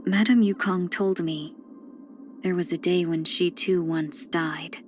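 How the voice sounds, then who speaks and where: A woman voicing a video game character, a gentle, wistful fox-woman who speaks softly and politely in measured, reflective lines. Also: lowish voice, steady tone, soft background music, lightly acted. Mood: fond, nostalgic. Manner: soft-spoken, measured, reflective, drifting into breathy near-whispers; polite and refined.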